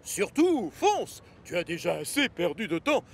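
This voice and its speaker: A man voicing a fussy, commanding railway boss, brisk and bossy, in a French-dubbed children's cartoon. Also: sits high, big pitch swings, creaky, a little hiss or hum, exaggerated voice. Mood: urgent, bossy.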